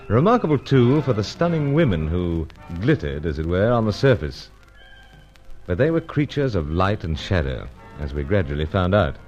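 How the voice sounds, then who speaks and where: An actor playing an earnest, gentlemanly secret agent in a radio adventure serial, speaking in a clipped, polite, theatrical manner. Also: male voice, low-pitched. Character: earnest gentlemanly British secret agent, adult man, narrating his adventures. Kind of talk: old-time radio adventure serial acting. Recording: dull, lo-fi radio serial sound.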